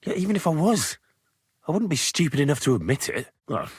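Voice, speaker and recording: Young medieval adventurer, male, earnest, plain-spoken, inquisitive, lively intonation, slightly husky, video game dialogue. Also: fairly high pitch, fairly quick, no room sound, clean studio sound, heavily acted. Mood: probing, determined.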